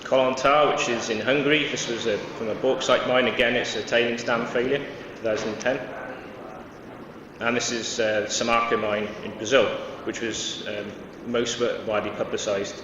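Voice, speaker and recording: A man's voice: medium pitch, steady tone, normal speed, recorded from a distance, a crowd in the background. A man from Wales giving a conference presentation, hesitant, with many fillers and restarts. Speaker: conference speaker. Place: large conference hall. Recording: echoey hall, dull sound, noisy.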